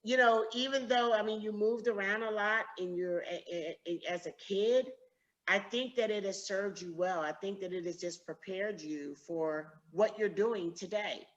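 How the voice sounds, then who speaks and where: A woman's voice: medium pitch, normal speed. Woman interviewer, slightly dull audio. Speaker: interviewer, host. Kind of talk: conversational interview.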